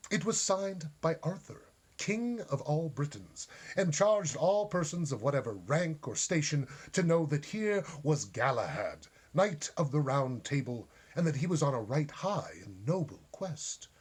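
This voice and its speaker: A man reading a short story aloud as an expressive narrator. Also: fairly high pitch, unhurried, few pauses, breathy edge. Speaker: story reader.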